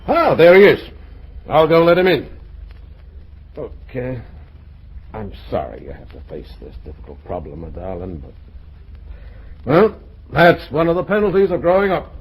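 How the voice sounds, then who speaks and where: Persuasive middle-aged father, husky voice, animated pitch, earnest reproach, vintage radio drama. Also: normal speed, pauses often, heavily acted, low-quality recording. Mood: gentle. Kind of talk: old-time radio drama acting.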